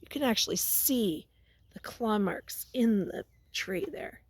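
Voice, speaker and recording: A woman's voice: a little high, lively intonation, slightly rough, no room sound, in a whisper. A woman teacher reading a picture book aloud to young children, stopping to ask them questions and prompt them. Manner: pauses to question and prompt listeners.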